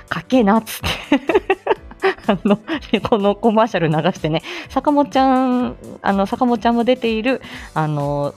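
A woman's voice: medium pitch, darkish tone. A woman hosting a casual morning livestream, chatting in a giggly, exclaiming way. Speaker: live audio show host.

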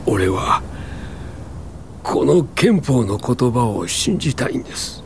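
An actor playing a sickly, earnest tuberculosis patient in a radio drama, plain-spoken, halting and short of breath. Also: male voice, mid-range voice, big pitch swings, creaky, background noise, heavily acted. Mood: solemn, determined. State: ill, coughing, breathless.

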